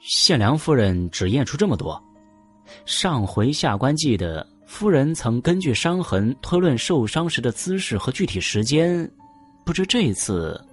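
An actor playing a slippery, cautious court official in an audio drama, deferential and hedging. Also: male voice, medium pitch, very animated, unhurried, breathy edge, soft background music. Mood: cautious probing.

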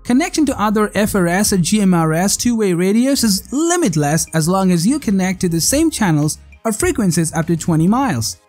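A narrator reading a scripted tech product countdown in a measured, promotional read-aloud manner. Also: medium pitch, very animated, darkish tone. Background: background music.

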